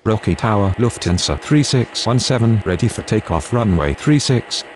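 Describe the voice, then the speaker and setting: A man acts as a procedural airline radio voice in a game. He is low-pitched and formal, speaking in clipped set phrases. Faint noise sits under him. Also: animated, moderate pace, natural voice. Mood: businesslike. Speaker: pilot and air traffic controller voices.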